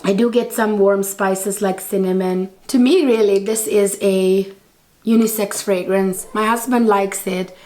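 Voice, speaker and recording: A woman in her thirties talks straight to camera with a hoarse, strained voice from a sore throat. Her delivery is chatty and rambling, full of restarts and asides to the viewers. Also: medium pitch, steady tone, a little slow, mellow, rough recording. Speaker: beauty and fragrance vlogger. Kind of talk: casual review to camera. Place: indoor studio set with textured wall.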